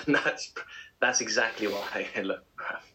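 A man co-hosting a casual podcast, chatty and animated, laughing often as he tells stories. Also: fairly low pitch, slow, a little hiss or hum, rough recording. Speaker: podcast co-host. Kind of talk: casual chat.

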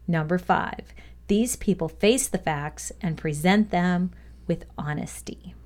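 A woman with a fairly low voice narrates an educational script. She reads aloud in a measured, deliberate manner, placing each phrase with care. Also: darkish tone. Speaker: reader of a values lesson.

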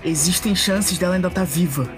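A woman's voice: lowish voice, normal speed, music in the background, close to an everyday voice. A woman playing a blunt, reasoning companion from the future in a tabletop roleplay audio drama, casual and argumentative. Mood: exasperated, reasoning.

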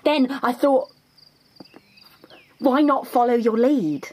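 A woman's voice: sits high, slow pace, darkish tone, slightly rough, exaggerated voice. A woman playing an eager, naive, starstruck budgie in an audio drama, gushing and wide-eyed. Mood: excited. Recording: music behind the voice.

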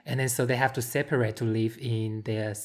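A woman's voice: rumbling low, steady tone, brisk, darkish tone. A woman, chatting casually as a podcast co-host, giggly and prone to mixing up names. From Taiwan. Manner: giggly, mixes up names, repeats words. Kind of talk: casual chat about films.

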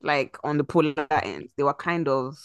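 A young woman from Nigeria co-hosting a podcast, talking in a relaxed, thoughtful way in a casual chat. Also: low voice, moderate pace, clean tone, no echo, studio quality. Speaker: podcast co-host.

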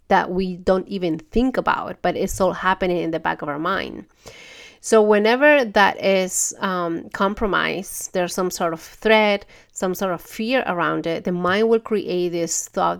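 A woman hosting a podcast, talking earnestly and gently in a casual monologue, her voice stuffy from a cold. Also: lowish voice, vocal fry. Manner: earnest, gentle, restarts often. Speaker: podcast host.

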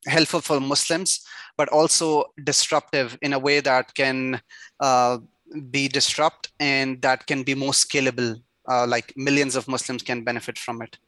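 A man answering interview questions with a bright, forward tone. His pitch stays level and even, and he pauses often with "uh" fillers. The sound is dry and close. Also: no room sound. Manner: hesitant, many "uh" fillers. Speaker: startup CEO, guest.

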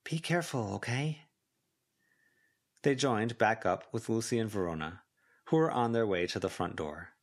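A man's voice: on the low side, lively intonation, moderate pace. A man reading scripted fiction as a volunteer audiobook narrator.

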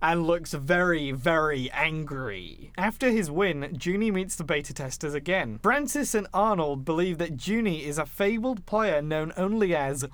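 A man's voice: fairly high pitch, animated, moderate pace, few pauses, fairly bright tone, dry recording. A man hosting a podcast, recapping a film in a casual, conversational style. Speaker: podcast host.

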